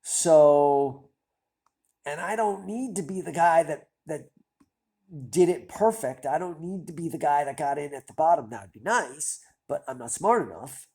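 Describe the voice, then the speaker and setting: A man's voice: a little high, animated, unhurried, a little mellow, slightly rough. A man with a nasal voice and a stuffy nose from a cold, hosting a stock-picking channel and thinking aloud in a hesitant, self-deprecating ramble. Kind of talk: casual thinking-aloud commentary.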